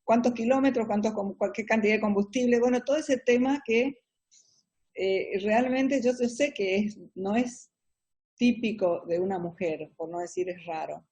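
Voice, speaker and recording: A middle-aged Argentine woman with an Argentine Spanish accent, answering interview questions about her business in an explanatory way, often pausing on fillers. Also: mid-range voice, darkish tone, husky. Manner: explanatory, hesitant with many fillers. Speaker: businesswoman guest.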